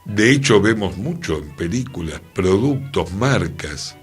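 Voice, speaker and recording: Slow, husky Argentine man in radio discussion. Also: sits low, expressive, faint music behind. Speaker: radio show participant. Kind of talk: radio discussion, reflective opinion. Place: radio studio.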